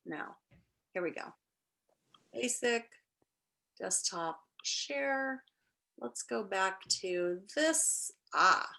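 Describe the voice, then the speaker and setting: A woman's voice: mid-range voice, animated, drawn-out words, vocal fry, long pauses, bright, forceful tone. A woman teacher talking and reading aloud to an online class, enthusiastic and encouraging. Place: indoors, on a video call.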